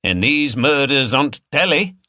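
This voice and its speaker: A man's voice: medium pitch, animated, moderate pace, pushed and bright, no echo, studio quality, voice pushed up. A man voicing a blunt, grumbling working man, bantering as a pub regular in an audiobook.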